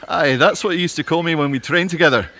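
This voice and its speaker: A man's voice: on the high side, quick pace, pushed and bright, a little hiss or hum. A man acting a stubborn, friendly ex-army groundsman and husband in an audio drama, in a homely, hesitant, plain-spoken way.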